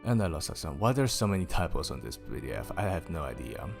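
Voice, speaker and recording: A man streaming a casual story reading, raising his pitch for the characters and stopping to laugh and swear at typos. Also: very animated, quick pace, creaky, music in the background. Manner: lifts pitch for quoted characters. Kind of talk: casual livestream book reading.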